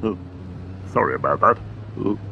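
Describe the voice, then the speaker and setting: A man's voice: sits low, lively intonation, normal speed, vocal fry, muffled recording, noisy background. A man voicing a bumbling, childish grown man in a cartoon, talking in mumbles and grunts. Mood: grumbling. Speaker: lead cartoon character. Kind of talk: cartoon voice acting. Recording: music score behind.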